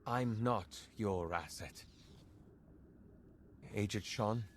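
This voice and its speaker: A man's voice: lowish voice, very slow, a little hiss or hum. A man playing an earnest, conflicted intelligence agent in a dramatic video game, with an urgent, pleading manner. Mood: grave, apologetic.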